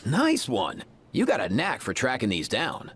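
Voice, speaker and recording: An actor voicing an easygoing, friendly young male adventurer in a video game, with a husky voice. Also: mid-range voice, huge pitch swings, a little slow, fairly bright tone, faint music behind, heavily acted. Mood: friendly, relaxed. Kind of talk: video game character voice lines.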